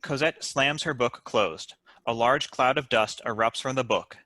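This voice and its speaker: A man's voice: medium pitch, unhurried, sharp tone, no echo. A man reading out stage directions as the narrator of a scripted table read.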